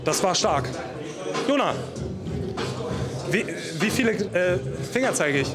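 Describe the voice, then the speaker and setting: A man's voice: sits high, sing-song, takes it slowly. A man in his thirties hosting with a handheld mic in a busy indoor gym, giving playful, teasing commentary. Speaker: vlog host with handheld mic. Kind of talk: casual joking gym commentary. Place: large indoor gym with crowd. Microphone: handheld microphone. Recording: loud music over gym recording.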